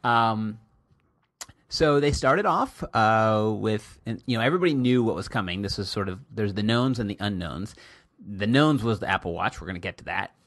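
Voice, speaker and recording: A man hosting a casual podcast, his booming voice rising and falling in lively swings. He talks close to the mic in a dry, dead room, chatty and teasing. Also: no room sound. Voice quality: loud booming voice. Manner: chatty and teasing, quick asides. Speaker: podcast host. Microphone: close podcast mic, pops.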